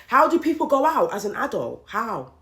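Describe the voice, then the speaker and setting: A woman's voice: on the high side, very animated, normal speed, fairly bright tone. Emphatic woman venting, roomy sound. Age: late 30s. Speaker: podcast host. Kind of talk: candid solo monologue. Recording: roomy echoey room.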